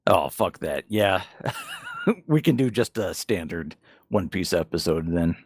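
A man's voice: deep voice, animated, unhurried, breathy edge, dry recording, studio quality. A man co-hosting a podcast, chatting casually and frankly about games and manga, with some swearing. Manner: profane, frank. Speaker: podcast co-host.

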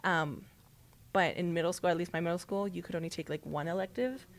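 A woman's voice: lowish voice, steady tone, a little fast. A woman from South Texas whose first language is Spanish, a sports reporter chatting casually as a podcast guest, telling stories with lots of "like" and easy laughter. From South Texas, USA. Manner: chatty, storytelling, frequent "like" and laughs. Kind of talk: casual interview chat.

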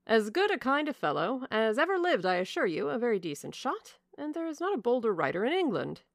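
A woman voicing a jovial, blunt country gentleman in an audiobook of a classic novel, speaking in a bluff, emphatic way. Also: fairly high pitch, very animated, fairly quick, gravelly, no room sound, clean studio sound, pitched above the performer's own voice. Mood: bluff, puzzled. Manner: bluff, emphatic, good-humoured.

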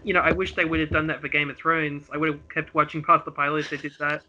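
A man co-hosts a podcast in a casual, wry, joking way. His voice is fairly high for a man and strikingly sharp and forward, though the recording is a little dull and lo-fi. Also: little pitch movement, fast, a little hiss or hum. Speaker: podcast co-host. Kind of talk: casual chat. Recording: slightly dull, lo-fi audio.

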